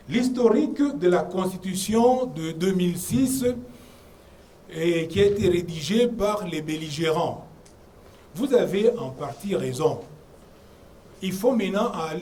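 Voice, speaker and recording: Animated man lecturing a conference. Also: high voice. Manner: emphatic, lecturing, with insistent repeated words. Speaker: conference debate speaker. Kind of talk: argued public talk on the constitution. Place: conference room. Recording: distant mic with faint room noise.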